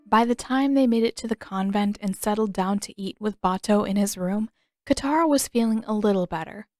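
A woman's voice: medium pitch, brisk, a little mellow, no echo. Woman narrating a story, close and dry. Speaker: podfic narrator and author. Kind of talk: scripted audiobook narration of fan fiction, third person.

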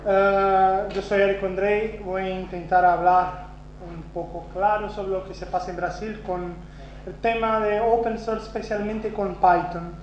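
Portuguese-speaking Brazilian man at a conference, high-pitched, self-deprecating, many restarts, echoey room. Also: level tone, unhurried. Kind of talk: conference talk. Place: conference hall. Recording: roomy, dull lo-fi sound with faint hum.

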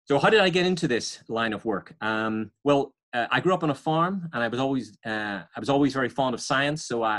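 A man in his forties, an environmental scientist, explaining his career to students over a video call and often pausing with uhs and restarts. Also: fairly low pitch, a bit bright. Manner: hesitant, many uhs and restarts. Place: home or office on video call, virtual background. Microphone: webcam or laptop mic on video call.

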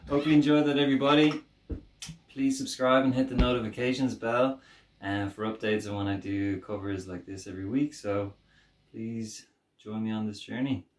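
A young man talks to camera in a relaxed, chatty way, dropping frequent ums. His low voice is picked up by a camera mic a few feet away. The sound echoes around a small wooden room. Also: normal speed, recorded from a distance, a little hiss or hum. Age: young adult. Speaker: guitarist. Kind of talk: casual video intro. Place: small wooden home studio cabin.

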